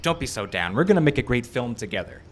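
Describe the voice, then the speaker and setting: An actor playing a glib, pushy young amateur filmmaker in a live radio drama. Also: male voice, mid-range voice, quick pace, close to an everyday voice. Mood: coaxing, excited. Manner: glib, wry, excitable. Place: live radio show in an outdoor park. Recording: live outdoor stage recording, background noise.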